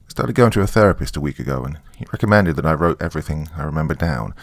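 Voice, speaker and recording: Low, gravelly male horror narrator. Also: expressive, very fast, no echo, studio quality. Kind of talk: scripted first-person narration.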